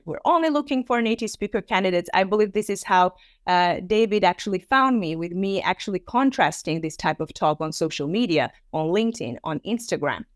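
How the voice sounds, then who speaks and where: A woman's voice: medium pitch, no echo, clean studio sound. A woman in her thirties, born in Armenia with Armenian as her first language, giving an online presentation in a conversational way, full of fillers and acted-out quotes. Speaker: teacher trainer.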